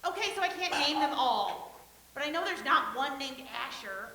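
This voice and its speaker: A woman in a comedic staged reading playing an eager, defensive believer who is quick to argue. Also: high-pitched, expressive, bright, forceful tone. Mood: flustered, defensive. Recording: hissy, roomy recording with noise.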